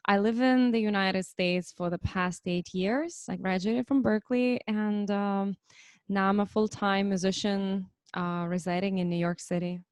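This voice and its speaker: A woman's voice: medium pitch, steady tone, measured pace, darkish tone, clear, resonant tone, dry recording. Woman guest, 30s, thoughtful and hesitant, dry video-call audio. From Lithuania. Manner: thoughtful, hesitant, many fillers. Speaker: musician guest. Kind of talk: reflective interview answers. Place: home, online interview.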